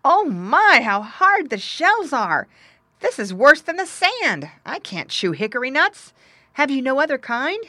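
A woman's voice: on the high side, sing-song, normal speed, fairly bright tone, dry recording, clean studio sound, pitched above the performer's own voice. A woman voicing a naive, eager, comical little male pig in a storybook, polite and easily astonished. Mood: dismayed.

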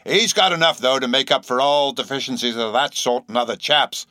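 A man's voice: medium pitch, lively intonation, normal speed, sharp tone, dry recording, studio quality, voice pushed up. A man voicing a shabby, insanely earnest old sailor in an audiobook, speaking abruptly with nervous emphasis and derisive, cryptic questions. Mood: urgent, earnest. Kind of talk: audiobook character acting.

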